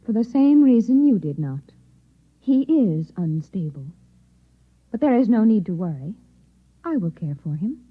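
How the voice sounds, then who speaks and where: Husky, secretive Martian wife. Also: female voice, medium pitch, sing-song, unhurried, pauses often. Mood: cool, composed. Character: composed, secretive Martian posing as a devoted wife. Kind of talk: old-time radio drama acting. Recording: phone-like old radio drama recording.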